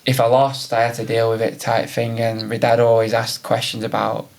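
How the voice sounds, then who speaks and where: A man's voice: mid-range voice, little pitch movement, moderate pace, gravelly. A man from North Wales, a podcast guest chatting casually and laughing often. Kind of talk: casual interview chat.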